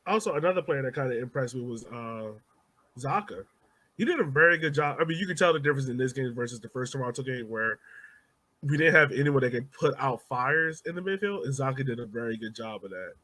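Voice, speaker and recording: A man's voice: medium pitch, lively intonation, brisk, bright, forceful tone, rough recording. A man hosting a soccer podcast, leading a casual discussion of the game. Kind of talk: casual sports chat.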